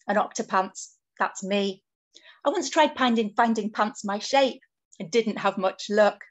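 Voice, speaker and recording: A plucky little octopus tells his storybook tale , acted out by a woman. The voice is fairly high and cheerful, with a sing-song rhyming lilt.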